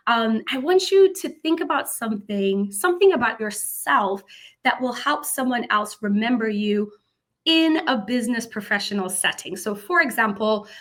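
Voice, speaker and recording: A bright, clear-toned woman from South Africa leads an upbeat lesson for students, her voice sitting a little high. She sounds encouraging and punctuates her points with emphatic exclamations. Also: animated, normal speed. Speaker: presenter. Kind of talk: instructional talk to students.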